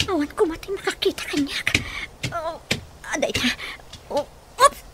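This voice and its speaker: A woman's voice: very high voice, lively intonation, moderate pace, heavy background noise. An actress playing a distressed, pleading captive woman in a Philippine radio drama, emotive and exclamatory, with a Filipino accent. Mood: tense, determined.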